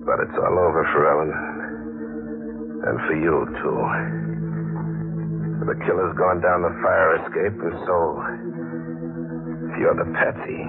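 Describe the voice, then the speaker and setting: A man's voice: deep voice, steady tone, measured pace, gravelly, pauses often. An American actor playing a bitter, hard-boiled man on the run in 1950s radio noir, with clipped, slangy, tough delivery. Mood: bitter, grim. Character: hard-boiled, bitter male fugitive telling his story. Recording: muffled old radio broadcast with loud music.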